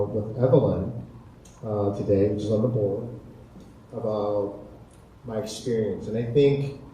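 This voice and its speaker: A man with an American accent speaking reflectively on a panel as a chef, earnest and deliberate, repeating phrases for emphasis. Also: lowish voice, mellow. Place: echoey indoor community event space. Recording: echoey hall, distant mic, faint noise.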